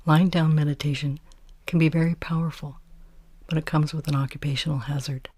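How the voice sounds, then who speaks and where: A woman teaches a guided meditation in a soft, hushed voice with a breathy, airy edge. Her tone is dark and relaxed, and her phrasing is measured and soothing. The sound is close and very dry. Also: low-pitched, no room sound. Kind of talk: guided body scan meditation.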